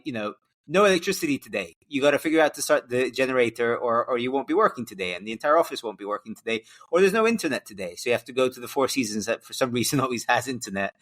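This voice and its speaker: A man's voice: medium pitch, a bit bright. A young man who grew up in Costa Rica and Hungary, hosting a podcast and asking curious follow-up questions. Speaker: podcast host. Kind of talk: casual interview conversation.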